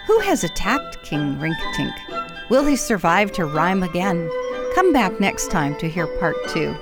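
A woman delivers scripted radio-drama announcements with theatrical flair. Her voice is on the low side and very animated, with music playing loudly behind her. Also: measured pace. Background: loud music.

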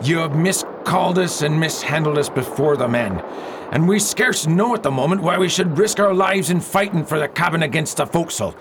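An actor playing a rough, mutinous pirate crewman in a period radio drama, blunt and theatrical. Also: fairly high pitch, a little fast, background noise, close to an everyday voice. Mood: indignant.

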